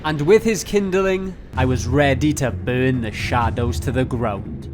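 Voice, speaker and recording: A man's voice: medium pitch, unhurried. A man narrating his gameplay as a video host, mixing mock-epic roleplay with casual, profane jokes. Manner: profane, joking. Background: music.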